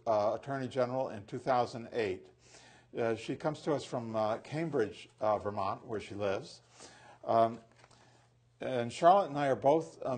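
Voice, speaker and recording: A man hosts a local studio talk show in a slightly husky voice. He introduces his guest and asks questions haltingly, with plenty of fillers. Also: medium pitch, darkish tone. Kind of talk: studio interview hosting.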